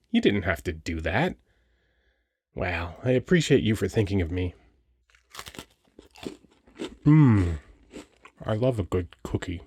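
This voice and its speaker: A man's voice: deep voice, a little mellow, slightly rough, full character voice. A man playing a cheerful, flirty Christmas elf toymaker in a romantic audio roleplay, chatty and teasing with the listener. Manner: playful, teasing, bubbly, chatty.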